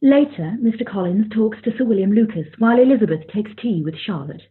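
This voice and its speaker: A woman's voice: mid-range voice, expressive, a little fast, soft background music, lightly acted. A woman narrating scripted stage directions for a radio play. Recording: thin phone-like sound.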